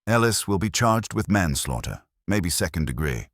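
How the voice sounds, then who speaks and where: A direct, wry investigator's right-hand man from an audiobook mystery, acted by a man. He talks colloquially and probes with lively inflection in a very low, husky voice. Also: normal speed, no room sound, studio quality. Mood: probing. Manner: colloquial, probing. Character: wry, direct male detective's assistant.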